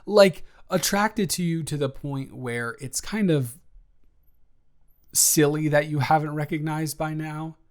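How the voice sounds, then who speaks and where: A man's voice: fairly high pitch, lightly acted. Man playing a flustered, rambling young male roommate. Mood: flustered confessing. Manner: rambling, halting, theatrically exasperated casual speech. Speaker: audio roleplay performer. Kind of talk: improvised intimate roleplay monologue.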